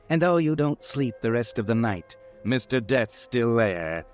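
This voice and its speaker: Ominous man narrating over faint music. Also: mid-range voice. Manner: ominous, insinuating. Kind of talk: second-person radio narration.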